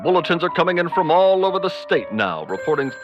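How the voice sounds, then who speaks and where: A flustered newscaster in a comedy radio drama. His voice is pitched high, over music, in slightly muffled broadcast sound. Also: very animated, quick pace. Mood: earnest.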